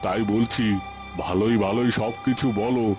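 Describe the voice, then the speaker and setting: A man's voice: mid-range voice, fairly even intonation, moderate pace, lightly acted. A man playing a stern, righteous village headman and family patriarch in a cartoon, speaking in a scolding, authoritative way. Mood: stern, demanding. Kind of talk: dramatic cartoon voice acting. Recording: music behind the voice.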